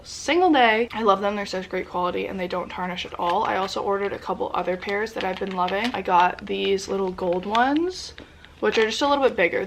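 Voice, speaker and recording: A woman's voice: medium pitch, vocal fry, a little hiss or hum, low-quality recording. A young woman talks straight to camera at home with a stuffy, congested voice. Her delivery is bubbly and rambling, full of casual fillers. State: congested, sniffly voice. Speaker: lifestyle vlogger. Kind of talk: chatty sit-down vlog. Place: indoors at family home.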